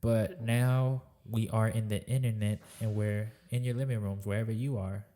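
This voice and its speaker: A man's voice: on the low side, fairly even intonation, normal speed, warm tone. A man in his twenties, raised in Florida, co-hosting a casual podcast and rambling with lots of repeats and casual swearing. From born in New York, raised in Tampa, Florida. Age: 20s. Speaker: podcast co-host.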